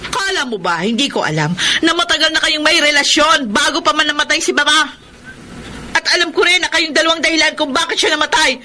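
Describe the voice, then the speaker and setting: Bright, high-voiced indignant Filipina daughter. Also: female voice, fairly quick, slight background noise. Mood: angry, anguished. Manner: emotional, confrontational, heavily dramatic. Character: indignant adult eldest daughter confronting her mother. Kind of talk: Filipino radio drama acting.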